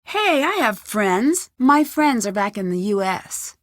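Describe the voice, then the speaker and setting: A plucky young American woman detective in an adventure game. Her voice sits fairly high and is recorded close and dry. She speaks in an earnest, conversational way, with an inquisitive edge. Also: sing-song, measured pace, no room sound. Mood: curious, probing. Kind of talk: video game voice acting, narrated letter and dialogue.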